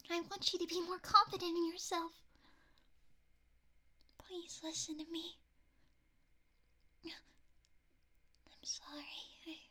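A woman plays a doting, obsessive young intruder in a whispered audio roleplay. She breathes every word in a very high, soft whisper that barely rises or falls. She talks slowly and stumbles over her restarts.

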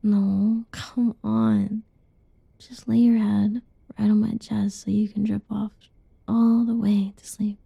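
Young woman, soft teasing ASMR whisper, slow, gravelly fry, dry close mic. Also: mid-range voice, little pitch movement, no room sound, muffled recording. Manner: playful giggles between lines. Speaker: roleplay narrator. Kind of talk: ASMR roleplay.

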